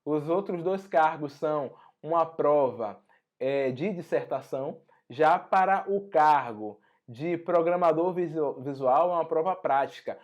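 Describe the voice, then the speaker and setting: Hoarse Brazilian man teaching. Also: fairly high pitch, unhurried, dull audio. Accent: Brazilian Portuguese. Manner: conversational, frequent tag questions. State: hoarse after a flu. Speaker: exam prep teacher. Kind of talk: online lesson walking through an exam notice.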